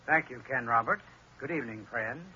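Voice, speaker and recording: A man reading a scripted radio advertisement in a measured, instructive way. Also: medium pitch, bright, forceful tone, husky. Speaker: sponsor spokesman. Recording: dull lo-fi old radio recording.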